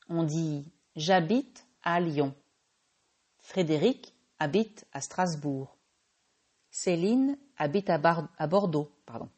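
Slow, mellow-voiced French teacher woman. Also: fairly low pitch, breathy edge. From France. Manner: patient, with small self-corrections. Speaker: French teacher and podcast host. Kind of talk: slow, clear language-lesson podcast.

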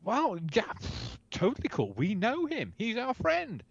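A man co-hosting a rally podcast, rambling and hesitant with many fillers, but animated. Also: very high voice, a little slow, gravelly, breathy edge, dry recording, lo-fi sound. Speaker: podcast co-host. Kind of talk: casual podcast chat.

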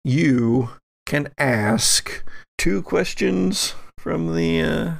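Slow, gravelly male game master. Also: fairly high pitch, expressive, dry recording. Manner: halting, deliberate narration. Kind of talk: narrating a tabletop roleplaying game, improvised.

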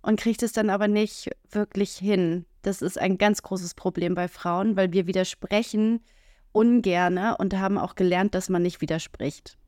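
A woman's voice: mid-range voice, steady tone, a little slow, a little mellow, dry recording, clean studio sound. Frank, earthy woman podcaster. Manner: frank and earthy, many "ähm" fillers, acts out quoted lines. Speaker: podcast co-host. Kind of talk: casual podcast conversation.

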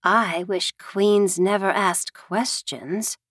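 A woman's voice: mid-range voice, steady tone, slow, a bit bright, no room sound, clean studio sound. A woman voicing an earnest, decided little girl in a storybook reading. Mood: grave, then triumphant.

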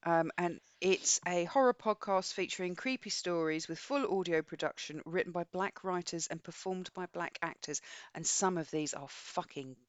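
A woman's voice: on the low side, normal speed, hardly any pauses, dry recording, highs cut off. A middle-aged woman co-hosting a podcast in casual, informal and blunt conversation. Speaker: podcast co-host. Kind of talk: casual chat.

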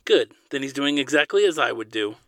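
A young woman from an audiobook, coaxing and caring, played by a man. She speaks in a raised pitch with a playful but insistent manner. The recording is very dry. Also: a little fast, a bit bright, no echo, close to an everyday voice. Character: playful, caring, firm young woman, prince's trusted friend. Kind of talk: audiobook character dialogue.

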